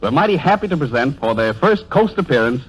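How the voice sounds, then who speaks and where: A man's voice: mid-range voice, very animated, brisk, husky, low-quality recording. A man hosting a 1930s radio show, giving a formal, declamatory, showman-like introduction on a vintage broadcast recording. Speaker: radio show host and announcer.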